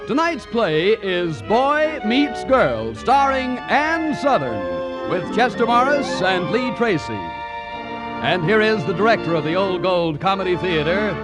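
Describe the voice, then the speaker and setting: A man's voice: high voice, sing-song, slow. A man announcing a 1940s radio show in a showy, declamatory style. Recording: 1940s radio broadcast, loud music.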